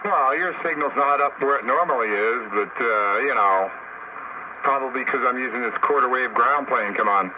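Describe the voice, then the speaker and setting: A man's voice: mid-range voice, normal speed, narrow, tinny audio. A man chatting casually and bluntly over two-way radio as an amateur operator, with band-limited, noisy sound. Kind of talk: casual on-air radio chat.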